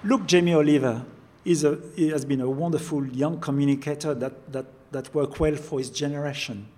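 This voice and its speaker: Older French chef, halting speech. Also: male voice, fairly high pitch, fairly even intonation, a little mellow. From France. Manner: halting, repeats words while searching for phrasing. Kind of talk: interview conversation.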